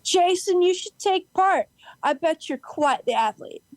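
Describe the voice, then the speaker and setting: High-voiced, eccentric cheerful woman, acted. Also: animated, a little slow, dry recording. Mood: playful, challenging. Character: eccentric, cheerful woman proud of odd sandwiches and games. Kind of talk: amateur audio play reading.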